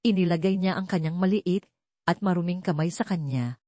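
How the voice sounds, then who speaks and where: A woman's voice: on the low side, a little fast, warm tone, no room sound. A woman reading scripted dramatic narration as the narrator of an audio story.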